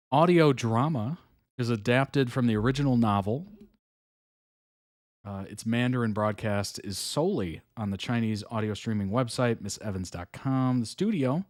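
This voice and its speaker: A man hosting a live reaction stream, chatting casually, reading chat messages aloud, with frequent ums and soft chuckles. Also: medium pitch, dry recording, studio quality. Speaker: host reacting to videos live.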